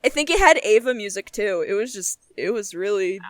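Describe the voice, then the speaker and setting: A woman's voice: mid-range voice, animated, fairly quick, dry recording, clean studio sound. A young adult woman chatting casually as a podcast co-host, giggly and emphatic, with plenty of swearing. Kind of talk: casual chat.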